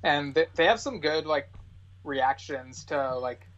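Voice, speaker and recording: A man's voice: a little high, unhurried, a bit bright. A man talking casually and informally as a podcast guest, in slightly dull, lo-fi sound. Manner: informal, peppers talk with "like".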